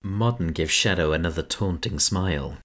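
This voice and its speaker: A man narrating a fan audio drama in a brisk, descriptive way. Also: deep voice, a bit bright.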